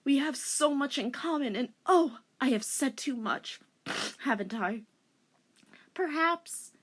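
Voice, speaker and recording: Woman acting lovestruck anime girl, high-pitched, breathy, overwrought, swooping, mock-dramatic fanfiction reading. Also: expressive, takes it slowly, fairly bright tone, heavily acted. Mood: coy, gushing. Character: melodramatic lovestruck young anime heroine from a fanfiction.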